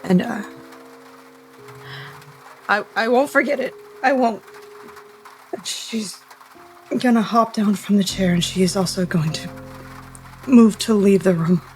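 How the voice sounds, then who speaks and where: A woman roleplaying in a tabletop game, halting and repeating words as she improvises. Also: mid-range voice, a little mellow, soft background music.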